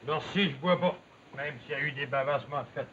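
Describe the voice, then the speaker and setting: A man's voice: a little high, fast, lightly acted. A male actor playing a proud, quarrelsome lumberjack in a 1950s television drama, with a Quebec French accent and blunt, accusing rural speech. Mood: guarded, curt. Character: Big William, proud, resentful, quarrelsome lumberjack. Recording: muffled, noisy old television soundtrack.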